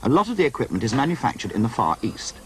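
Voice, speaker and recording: A man's voice: mid-range voice, wildly swooping pitch, rapid-fire, fairly bright tone, breathy edge, poor audio. A man narrating a scripted television news feature as a reporter.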